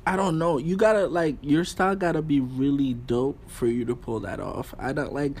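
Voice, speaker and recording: A man's voice: fairly high pitch, normal speed, a little mellow. Casual, slangy man with background noise. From Haitian background. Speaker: podcast guest. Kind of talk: casual chat about fashion. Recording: clear background room noise.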